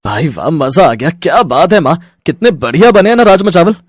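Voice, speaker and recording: A man's voice: fairly high pitch, big pitch swings, a little fast, husky, dry recording. A man voicing an easygoing, supportive young husband and son in a cartoon story. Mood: cheerful. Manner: chatty, agreeable. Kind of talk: Hindi cartoon moral story.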